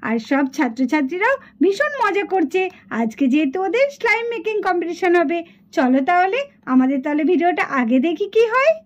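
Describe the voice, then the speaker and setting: A woman's voice: very high-pitched, sing-song, brisk. A woman narrating a children's puppet story. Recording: dull lo-fi sound.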